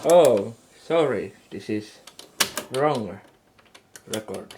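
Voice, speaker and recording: A man informally presenting a radio hobby demonstration, talking haltingly in short phrases with long gaps. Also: medium pitch, lively intonation, noisy background.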